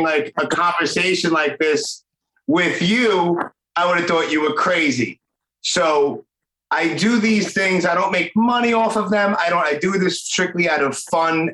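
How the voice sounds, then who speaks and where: A man in his forties with a Brooklyn accent, hosting a casual podcast interview in a rambling, chatty way. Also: on the high side. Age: 40s. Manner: chatty, warm-hearted, rambling. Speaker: podcast host. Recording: echoey room.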